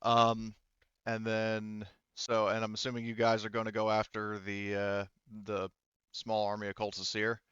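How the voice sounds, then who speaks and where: Male game master, low and clean voice, explaining rulings casually, slightly muffled dry recording. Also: completely flat delivery, unhurried, fairly bright tone, clean tone, dry recording. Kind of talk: running a tabletop roleplaying game, casual chat.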